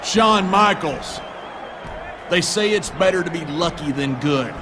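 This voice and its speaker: A man playing a pompous, scornful villain wrestler who hosts a talk show in a video game, speaking in emphatic, deliberate promo style. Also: fairly high pitch, a crowd in the background, noisy background, lightly acted. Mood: angry.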